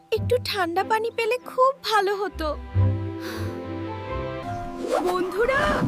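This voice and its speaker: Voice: very high-pitched, exaggerated voice. A performer voicing a small, eager young animal friend in a children's cartoon. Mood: weary.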